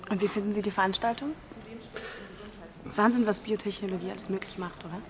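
A woman's voice: mid-range voice, steady tone, brisk, crowd noise, close to an everyday voice. An actress playing a cool, shrewd woman in her twenties in a radio drama, direct and challenging in natural conversation. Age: 20s.

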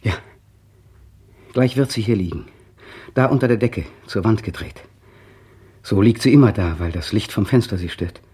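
An actor playing an anxious young man in a radio play monologue, brooding and restless, talking to himself in a husky, breathy voice. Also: lowish voice, moderate pace, long pauses, mellow. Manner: restless, swings from muttering to feverish elation.

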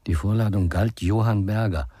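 An actor playing a formal, sceptical state lawyer who questions probingly in a German radio crime drama. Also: male voice, fairly low pitch, moderate pace, dark tone, breathy edge, no echo, clean studio sound.

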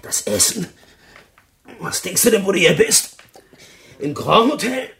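A blunt, coarse convict in a radio drama. He speaks slowly and curtly, his pitch jumping with mockery. The recording carries faint noise. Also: expressive, vocal fry, fairly bright tone, distant mic, exaggerated voice. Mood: mocking, suspicious. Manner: curt, coarse, colloquial. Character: gruff, blunt, mocking male prison cellmate. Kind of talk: radio play acting.

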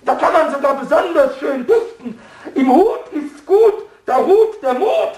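A man's voice: very high-pitched, expressive, unhurried, a little mellow. A man voicing a cheeky, stubborn little male kobold in a radio play, stammering and giggly. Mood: stubborn playful. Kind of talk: 1960s German radio play acting. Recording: roomy studio echo.

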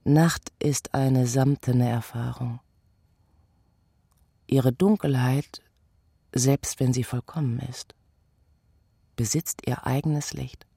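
A contemplative, sleepless night creature in a radio play. The voice is husky and dark, hushed and deliberate, reciting poetry in a dry, close sound. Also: female voice, very deep voice, fairly even intonation, dry recording, exaggerated voice. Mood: contemplative, grave.

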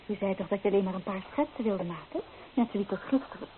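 A woman's voice: mid-range voice, fast talker, lightly acted. An actress playing a young, earnest and wistful romantic woman in an old radio drama. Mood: flustered, coy. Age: young adult. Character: young romantic woman longing for freedom, torn. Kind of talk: old radio play acting. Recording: tinny old radio recording, faint noise.

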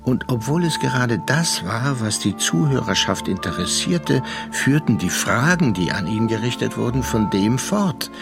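An actor in a German radio drama playing a worldly, sardonic narrator, telling his story in a measured, reflective way. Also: mid-range voice, sing-song, creaky, over music. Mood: wry, reflective. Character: sardonic, worldly gentleman narrator recounting a sea inquiry.

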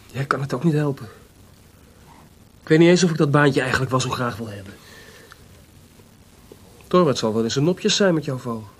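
An actor playing a frank, sensible composer in a radio drama, with a husky voice and a plain-spoken, reproachful manner. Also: male voice, medium pitch, normal speed, long pauses, natural voice. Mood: resigned. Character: frank, sensible composer, disappointed but loyal friend.

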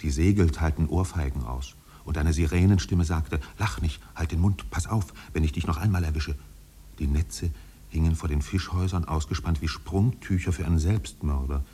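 An actor playing a solemn literary storyteller, narrating a 1950s German radio drama in a measured, dramatic manner. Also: male voice, mellow. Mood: grave, brooding. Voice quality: deep, husky.

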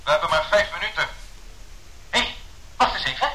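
A man's voice: fairly high pitch, expressive, a little fast, bright, forceful tone. A man playing a tense bomber pilot, clipped and urgent, in echoing cockpit dialogue from a radio play. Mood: alarmed. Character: tense bomber pilots struggling with a failing aircraft.

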